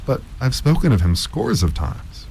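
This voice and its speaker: A man voicing a vain, excitable ornithologist in a story reading, exclaiming and stammering when flustered. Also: mid-range voice, normal speed, vocal fry, slight background noise, voice pushed up, rough recording. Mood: excited, awed. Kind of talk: acted dialogue in a storybook reading.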